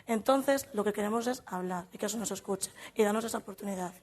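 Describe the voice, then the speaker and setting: A woman's voice: medium pitch, quick pace, background noise. A young woman on a youth panel earnestly presenting workshop conclusions, often correcting herself mid-word. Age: young adult.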